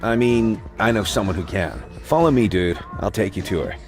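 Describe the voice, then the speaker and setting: A man's voice: fairly low pitch, expressive, normal speed, faint music behind, close to an everyday voice. An actor playing a laid-back, chummy male vampire in a video game, with a slangy stoner-like drawl. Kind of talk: video game cutscene acting.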